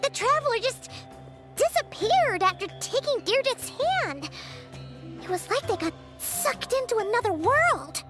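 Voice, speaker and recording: A tiny game fairy sidekick with an extremely high, squeaky child's voice. She is expressive and swings widely in pitch, with prominent music playing behind her. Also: unhurried, heavily acted. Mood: puzzled, worried. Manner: speaks of herself in third person, expressive. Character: small childlike female fairy companion, chatty, third-person talker. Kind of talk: English video game voice acting.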